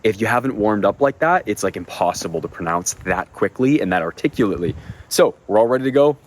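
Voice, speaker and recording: A man with a slightly hoarse voice, a real estate agent talking quickly and energetically outdoors, switching between casual explanation and an upbeat sales pitch. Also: animated, slight background noise. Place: outdoors at a house.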